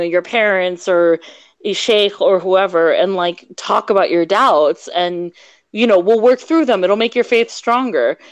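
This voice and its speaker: Female podcast guest, mid pitch, candid storyteller, dry recording. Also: dry recording. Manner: frank, candid, storytelling with fillers. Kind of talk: casual interview chat.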